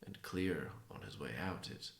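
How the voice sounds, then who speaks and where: A man improvising a folktale, telling it haltingly with pauses between phrases in a gravelly, creaky voice. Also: low-pitched, lively intonation. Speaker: writer improvising a story. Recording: roomy, echoing sound.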